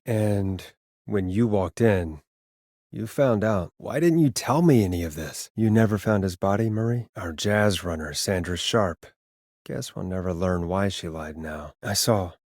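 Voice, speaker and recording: A man playing an earnest, rattled radio host in a video game, speaking in a halting, conversational way as he reasons with a killer. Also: on the low side, lively intonation, darkish tone, no room sound, clean studio sound. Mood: tense, questioning. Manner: halting, stammering, conversational delivery. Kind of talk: dramatic video game voice acting.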